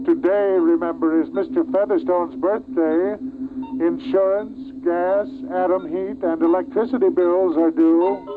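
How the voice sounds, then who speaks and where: Automated house announcer: high-pitched, clipped, mechanical, old radio play, clear music underneath. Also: male voice, fairly even intonation, unhurried. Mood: brisk mechanical announcing. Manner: announcing reminders in clipped phrases. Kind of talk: 1950s radio drama acting. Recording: tinny vintage radio recording, music behind.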